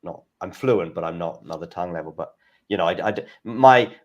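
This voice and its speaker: A man hosting a casual tennis watchalong chat, talking in halting starts and restarts and reading out scores. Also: low-pitched, lo-fi sound. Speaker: host.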